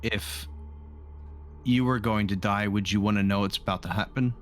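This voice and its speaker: Deep-voiced man in casual game chat. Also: fairly even intonation, normal speed, slight background noise. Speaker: tabletop game player.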